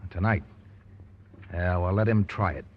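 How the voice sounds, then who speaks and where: An actor playing a crooked male contractor with a husky, blustering voice, in 1940s radio style. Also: low voice, steady tone, measured pace. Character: crooked male contractor, defiant then frightened. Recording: dull old radio recording.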